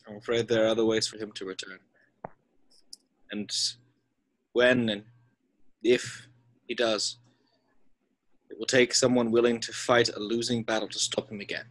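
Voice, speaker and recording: A man playing a wise, gentle, elderly wizard headmaster in a fantasy screenplay read, speaking in a measured, deliberate way. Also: on the low side, level tone, natural voice, rough recording. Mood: wry, solemn.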